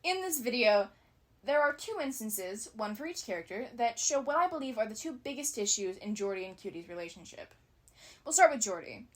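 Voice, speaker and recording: High-pitched, lively woman video essayist. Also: brisk. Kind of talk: scripted character analysis commentary with casual asides.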